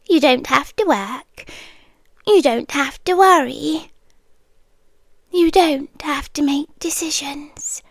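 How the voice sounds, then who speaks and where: A woman's voice: high-pitched, no echo. A woman playing a whispery possessive forest queen. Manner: soft, slow, whispery and coaxing. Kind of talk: scripted audio roleplay.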